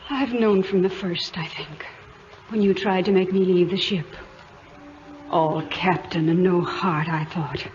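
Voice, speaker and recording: A woman's voice: lowish voice, fairly even intonation, a little slow, a little mellow, a little hiss or hum, natural voice. Woman acting an ardent, poised aristocratic lady. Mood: yearning. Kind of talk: old-time radio drama acting. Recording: dull vintage radio recording, faint music behind.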